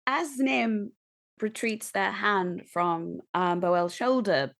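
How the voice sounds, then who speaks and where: A woman's voice: medium pitch, slow, creaky, a bit bright. A woman playing a tabletop roleplaying game, casually describing what her character does.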